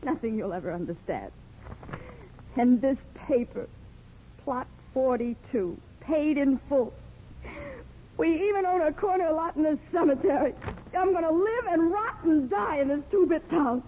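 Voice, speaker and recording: A woman's voice: sits high, expressive, a little slow, slight background noise. An actress playing an emotional, lonely small-town wife and mother in a 1950s radio drama. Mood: bitter, angry. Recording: tinny 1950s radio broadcast recording.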